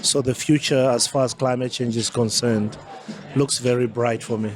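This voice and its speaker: A man in his forties from Zambia with a Southern African accent gives measured, formal answers as an interview guest. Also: mid-range voice, fairly even intonation. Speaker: interview guest, climate change adaptation advisor. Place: busy festival venue. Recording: crowd chatter behind the voice.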